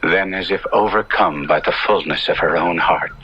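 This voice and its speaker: An actor playing an anguished young cavalier trapped in a monstrous body, narrating melodramatically in a 1970s radio play. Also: male voice, deep voice, normal speed, highs cut off, close to an everyday voice. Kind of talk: 1970s radio drama first-person narration. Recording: band-limited 1970s radio with dramatic music.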